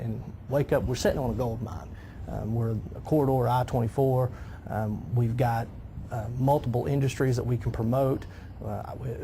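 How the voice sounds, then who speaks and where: Young guy pitching his council run, warm tone, some ums, faint hiss. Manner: earnest, with "um" fillers and repeats. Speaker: city council candidate. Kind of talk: guest on a local talk show.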